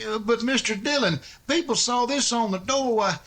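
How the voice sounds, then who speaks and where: High-voiced anxious sidekick. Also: male voice, normal speed, a bit bright. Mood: puzzled, worried. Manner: folksy, hesitant. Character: anxious, simple, loyal male deputy sidekick in the Old West. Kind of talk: old-time radio western acting.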